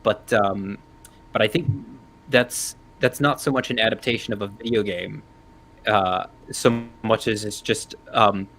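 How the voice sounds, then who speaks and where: A man's voice: fairly low pitch, fairly even intonation, a little slow, a bit bright, slight background noise, poor audio. A man in his thirties giving analytical, unscripted panel commentary in a hesitant, thinking-aloud way. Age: 30s.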